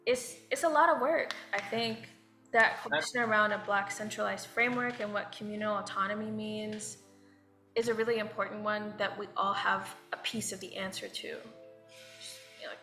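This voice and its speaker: A woman's voice: mid-range voice, vocal fry, pushed and bright. A woman speaking as a guest on a panel, reflective and loose, with many fillers. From United States. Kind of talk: panel discussion talk. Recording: echoey room.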